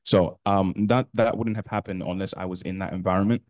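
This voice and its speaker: A man's voice: deep voice, fairly even intonation, brisk, a little mellow, dry recording. A young man speaking casually on a university panel, peppering his talk with "like". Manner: casual, lots of "like". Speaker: panelist, alumnus. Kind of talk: panel discussion. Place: university classroom, indoors.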